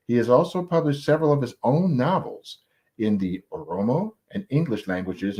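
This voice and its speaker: A man's voice: fairly low pitch, a little mellow. A man hosting an interview, reading a formal introduction and then asking casual questions. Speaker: interview host.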